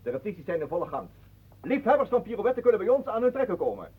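Pompous ballet master, theatrical declaiming, husky, phone-like radio drama recording. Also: male voice, high voice, sing-song, rapid-fire, full character voice. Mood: grandiose, exalted. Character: pompous male self-styled ballet master, grandiose and mocking. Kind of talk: radio play acting.

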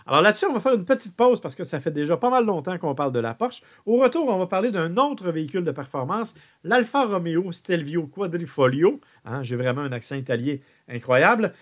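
A man hosting a car radio show, talking casually and often pausing on "euh" and repeated words. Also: on the high side, lively intonation. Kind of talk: car review radio talk.

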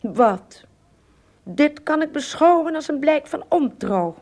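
Haughty miniature monarch: high, mellow, pompous, quick to take offence. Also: female voice, lively intonation, full character voice. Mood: offended. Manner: pompous, regal, indignant. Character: haughty, easily offended tiny king of Lilliput. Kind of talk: radio play acting.

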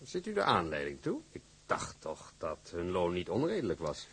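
A man playing a formal, calculating shipping company boss in a radio drama, speaking in a measured, businesslike way. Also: low voice, huge pitch swings, a bit bright, husky, heavily acted. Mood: sceptical, measured. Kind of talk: Dutch radio drama acting.

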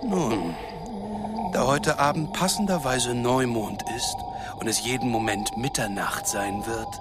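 A man's voice: medium pitch, a little slow, loud music behind the voice, close to an everyday voice. An actor playing a gloating, sadistic villain in a radio drama, mocking and theatrical as he savours his words. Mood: bored cruelty. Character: gloating, sadistic male villain toying with captives. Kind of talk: German radio drama acting.

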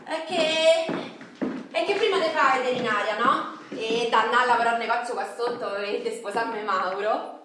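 Actress doing a naive frustrated roman wife rambling in a radio play, really high voice, super echoey and far from the mic. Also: female voice, normal speed, close to an everyday voice. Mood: agitated, chatty. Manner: colloquial, rambling, tags phrases with "no?" and "eh". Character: chatty, frustrated working-class Roman wife, naive and dreamy. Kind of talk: theatrical radio drama monologue in dialect. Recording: roomy, echoing, distant mic, faint noise.

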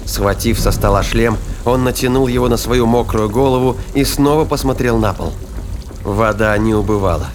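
A man's voice: medium pitch, normal speed. A man narrating a sci-fi thriller audio drama in a scripted storytelling style. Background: music and sound effects.

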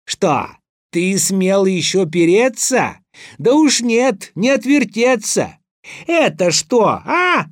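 An imperious old fairy-tale tsar, acted out by a man, boastful and quick to threaten. His voice rides high and swings dramatically as he barks his orders in storybook verse. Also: very animated, no echo, clean studio sound. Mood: gloating. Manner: imperious, shouting outbursts. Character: hot-tempered, boastful, bearded old tsar, bullying and threatening.

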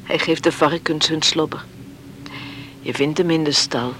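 A grieving farmer's wife in a radio drama. She speaks slowly in a medium-pitched voice, weary and resigned, with faint noise behind her. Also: steady tone, fairly bright tone, low-quality recording. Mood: weary, sorrowful. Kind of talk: Dutch radio play acting.

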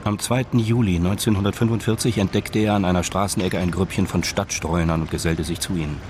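Very deep-voiced male narrator. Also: fairly even intonation, brisk, noisy background. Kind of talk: scripted narration of a radio crime drama.

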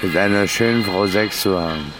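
A man's voice: sits low, a little fast, over loud music. A man chatting casually as an interviewed passer-by. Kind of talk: casual street chat.

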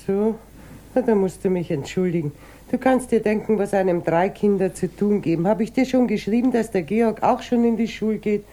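A woman's voice: medium pitch, brisk, dark tone, natural voice. An actress playing a worn, prematurely aged mother of three in a radio play, plaintive and familiar, with an Austrian accent. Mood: mildly reproachful. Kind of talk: German radio play acting.

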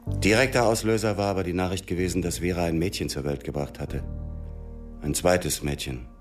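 Man as grieving ex-agent, extremely deep voice, subdued, reflective, radio drama, faint music. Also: brisk, natural voice. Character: wounded, grieving former CIA agent.

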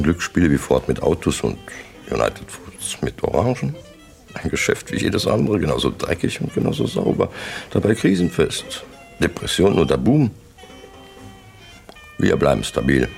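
An actor playing a coldly pompous crime syndicate boss in a radio drama, deliberate, terse and menacing, as if lecturing. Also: male voice, a bass voice, vocal fry, heavily acted.

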